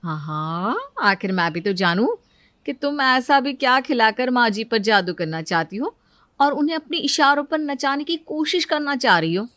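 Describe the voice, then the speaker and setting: A woman's voice: a little high, animated, moderate pace, a bit bright, a little hiss or hum. A woman voicing a put-upon, envious elder daughter-in-law in a cartoon story, plaintive and pointed. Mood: envious, sarcastic. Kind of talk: Hindi cartoon story dialogue.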